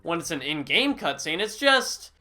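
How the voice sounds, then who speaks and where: A young man narrates a comedic game review voice-over in a high, sharply bright voice. His delivery is sarcastic and swings widely in pitch, with sudden exaggerated outbursts between dry asides. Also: sing-song, moderate pace. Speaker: gaming YouTuber.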